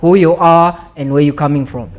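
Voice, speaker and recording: A man with a South African accent hosting a podcast interview, encouraging and quick to affirm his guest. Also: a little high, fairly even intonation. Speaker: podcast host.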